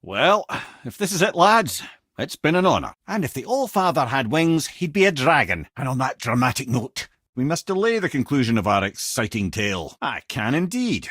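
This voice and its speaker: A man's voice: medium pitch, big pitch swings, moderate pace, a bit bright, no echo, studio quality. An actor voicing a witty, learned old male sage and storyteller in a video game, with a Scottish accent and a wry, erudite way of talking. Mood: urgent, animated, then wry, teasing.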